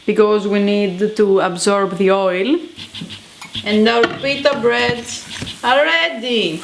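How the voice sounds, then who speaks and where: A woman from Greece with a Greek accent, chatting casually as she cooks at home, often pausing and searching for words. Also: medium pitch, noisy background. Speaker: home cook. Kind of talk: casual cooking video.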